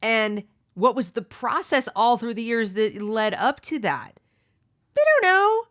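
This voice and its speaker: A woman's voice: big pitch swings, unhurried, a bit bright. A middle-aged woman hosting a read-along book discussion, giving opinionated commentary and asking rhetorical questions. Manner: mocks others with high exaggerated voices.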